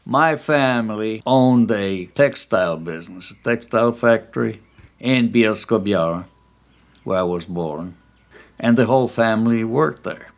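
An older man in his eighties, originally from Poland, speaks with a somewhat low voice. He answers questions slowly and thoughtfully, recalling his past in an interview recorded at his home. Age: 80s. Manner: measured, reflective recollection. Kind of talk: oral history interview.

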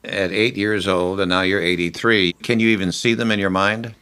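Deep-voiced man, oral history interviewer; calm, gentle prompting questions. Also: moderate pace, clean tone.

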